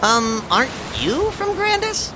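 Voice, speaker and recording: Curious young game adventurer, highish voice, hugely swooping intonation, easygoing, noisy backdrop. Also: female voice, big pitch swings, unhurried, heavily acted. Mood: curious, casual. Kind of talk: video game voice acting.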